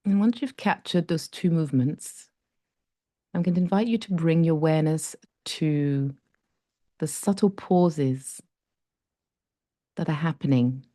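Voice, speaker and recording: A woman with a low, mellow voice leads a breathing meditation. She talks slowly and softly and leaves long pauses between phrases. The sound is close and dry. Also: no echo. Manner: slow, soft and gentle, with long pauses. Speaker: meditation guide.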